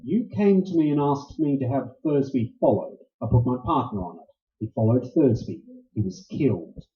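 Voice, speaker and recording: An actor playing a bitter, unsentimental private detective in a tough, clipped 1940s radio noir style. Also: male voice, medium pitch, animated, moderate pace, natural voice. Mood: businesslike, demanding. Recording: dull, echoey room recording.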